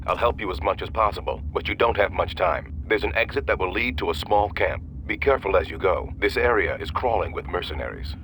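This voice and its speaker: A man playing a shrewd, urgent insider who gives brisk, wry instructions in a video game. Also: low voice, fairly bright tone, a little hiss or hum, rough recording. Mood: urgent, instructive. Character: Doyle, shrewd urgent male insider guiding by radio. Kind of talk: video game cutscene acting.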